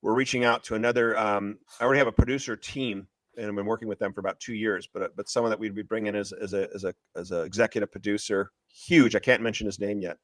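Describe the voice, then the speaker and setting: A man talking as an interviewed author and screenwriter, retelling a story in an excitable, emphatic, repetitive way. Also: lowish voice, quick pace, hardly any pauses, dry recording. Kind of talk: animated promotional interview talk, retelling a story.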